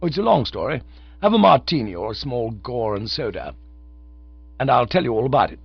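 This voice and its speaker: A man's voice: medium pitch, very animated, a little fast, vocal fry, slightly rough, no room sound, voice pushed up, full character voice. A man voicing a cheerful young man who talks to his friend in a chummy, teasing way. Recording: dull lo-fi dry recording.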